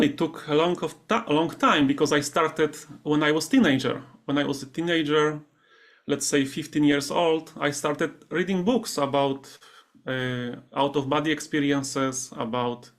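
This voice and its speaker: A man's voice: on the high side, a little slow. A man with a Polish accent, speaking conversationally as a guest in a remote interview. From Poland. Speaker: interview guest, meditation teacher. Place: remote video call.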